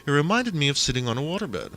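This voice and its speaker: A man's voice: on the high side, big pitch swings, fast, bright, forceful tone. A man reading a first-person story aloud as a dramatic audiobook narrator. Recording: faint noise behind the voice.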